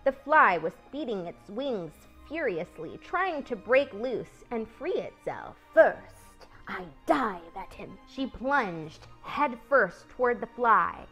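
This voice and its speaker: Female storyteller, expressive narration, lively pitch, unhurried pace, music in the background. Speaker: storybook reader, channel host.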